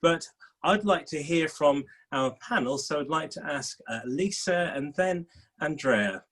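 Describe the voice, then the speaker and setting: A man's voice: a little high, lively intonation, a little slow, a bit bright. Enthusiastic man hosting a panel. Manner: enthusiastic, welcoming. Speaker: panel host. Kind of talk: moderating a panel discussion.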